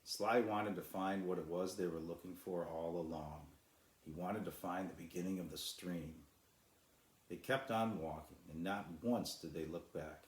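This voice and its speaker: Guy author reading his kids book, really deep voice, lots of room echo. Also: fairly even intonation, measured pace. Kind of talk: storybook narration.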